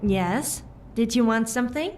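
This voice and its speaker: A woman's voice: fairly high pitch, lively intonation, measured pace, a little mellow, a little hiss or hum. A woman playing a cheeky, playful young female adventurer in a video game. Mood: playful, teasing. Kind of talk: video game character dialogue.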